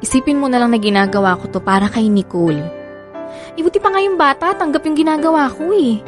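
A woman's voice: high-pitched, fast, music in the background, natural voice. A woman playing a devoted, determined mother in a radio drama, coaxing and pleading expressively. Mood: placating, pleading. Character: devoted, determined young mother and frustrated mistress.

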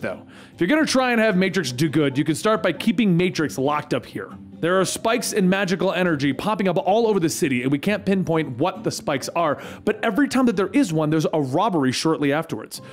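A man voicing a brisk, exasperated female secret agent in a comic book story, speaking in a businesslike way. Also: high voice.

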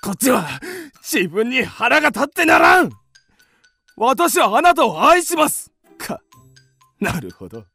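Wildly animated, blustering hothead. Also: male voice, sits high, moderate pace, gravelly, husky, dry recording, faint music behind, full character voice. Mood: exasperated. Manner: bombastic, impulsive, declamatory. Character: blustering, hot-headed male creditor, suddenly lovestruck. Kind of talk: theatrical radio drama acting.

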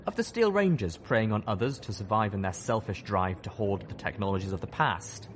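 Expressive, lowish-voiced male audiobook narrator. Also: fairly quick, slight background noise. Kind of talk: expressive first-person scripted narration.

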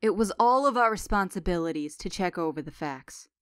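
A woman's voice: lowish voice, expressive, brisk, fairly bright tone, smooth, no echo, clean studio sound. A woman playing a composed, commanding teenage leader of a fifties gang in a comic dub. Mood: calm, resolute. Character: cool, decisive teenage leader of a 1950s gang.

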